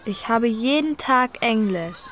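A woman playing a schoolgirl in a scripted textbook dialogue, speaking slowly and clearly and complaining about her week. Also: fairly high pitch, lively intonation, fairly bright tone, slight background noise. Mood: grumbling. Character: teen girl fed up with her timetable. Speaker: student in a listening dialogue.

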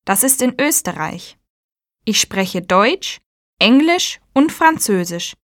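A teen girl, slow, careful, dry close sound. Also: a little high, big pitch swings, dry recording. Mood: friendly. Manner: slow, careful self-introduction. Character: teen girl introducing herself. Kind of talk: scripted textbook audio.

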